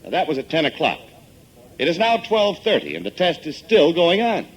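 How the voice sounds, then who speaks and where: A man's voice: a little high, wildly swooping pitch, normal speed. A man with an American accent reading scripted narration as a 1950s radio announcer. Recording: noisy old radio recording.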